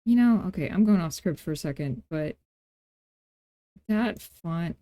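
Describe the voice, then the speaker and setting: A woman with a relaxed, mellow and slightly low voice leads a casual tutorial at an unhurried pace. She thinks out loud as she works and slips in playful, self-deprecating asides. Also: no room sound. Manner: informal thinking aloud while working. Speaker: tutorial host. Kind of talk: casual screen-recorded software tutorial narration.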